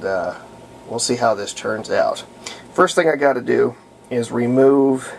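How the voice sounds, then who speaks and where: Male hobbyist restorer, casual explainer. Gravelly fry, unhurried halting delivery, pauses mid-thought. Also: medium pitch, a little hiss or hum. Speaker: hobbyist radio restorer demonstrating a repair. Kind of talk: casual hands-on tutorial talk.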